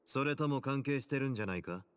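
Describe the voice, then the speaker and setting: Cool, self-assured man, fast and dry game actor. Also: mid-range voice, animated, smooth, no room sound, close to an everyday voice. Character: cool, confident male investigator's companion, faintly menacing. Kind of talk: Japanese game voice acting.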